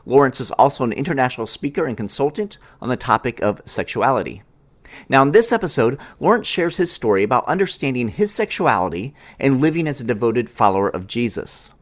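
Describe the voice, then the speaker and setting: A man's voice: mid-range voice, very animated, a little fast. A man hosting an interview podcast, presenting introductions and putting questions to a guest. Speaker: podcast host. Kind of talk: scripted introduction, then interview.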